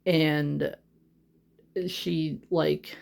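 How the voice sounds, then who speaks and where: Young adult vlogger, home office, handheld phone. Loose, rambling and self-conscious, heavy on fillers, with a gravelly fry. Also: medium pitch, flat delivery, very slow, a little mellow. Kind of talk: casual chat. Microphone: phone held in hand.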